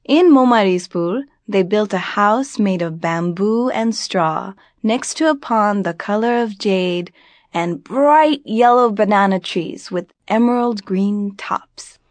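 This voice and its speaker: A woman with an American accent tells a folk tale as an expressive storyteller, in a deliberate, dramatic manner with phrases drawn out. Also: mid-range voice, dry recording. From grew up in Virginia, United States.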